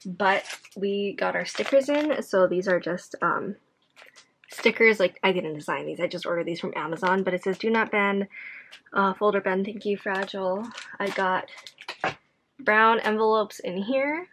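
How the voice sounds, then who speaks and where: A woman's voice: mid-range voice, normal speed, a bit bright, slight background noise. A tired young woman vlogging casually to camera from her home office, chatty and rambling with many fillers. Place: home office room, indoors.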